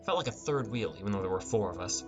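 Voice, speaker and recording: Low-voiced man narrating a fantasy audiobook. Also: expressive, fast talker, music in the background. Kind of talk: scripted first-person fantasy narration.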